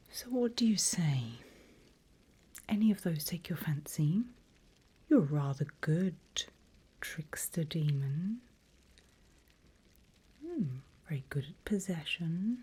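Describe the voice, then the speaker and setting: A woman playing a seasoned demon mentor in a whispered ASMR roleplay, breathy and deliberate, playfully sinister and teasing. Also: on the low side, animated, mellow, heavily acted.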